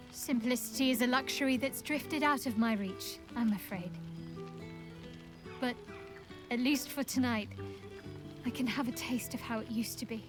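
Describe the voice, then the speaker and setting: A woman playing a devout, commanding young dark priestess in a video game, speaking in a measured, formal, wry and intimate way. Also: a little high, lightly acted. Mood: wistful, resigned. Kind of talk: video game character dialogue. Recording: game dialogue over background music. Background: music.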